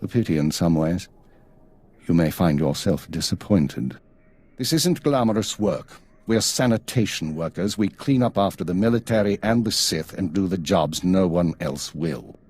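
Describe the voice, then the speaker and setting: An actor playing a stern, weary intelligence chief in a video game, formal, clipped and measured. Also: male voice, low-pitched, animated, a little mellow. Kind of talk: video game cutscene acting.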